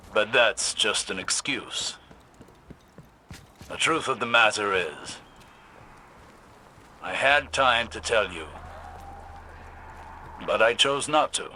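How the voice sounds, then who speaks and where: An actor playing a proud, remorseful old-world warrior in a video game, speaking formally and deliberately. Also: male voice, fairly low pitch, expressive, bright, forceful tone, slight background noise, heavily acted. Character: proud, remorseful ancient warrior haunted by his past. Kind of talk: scripted video game cutscene acting.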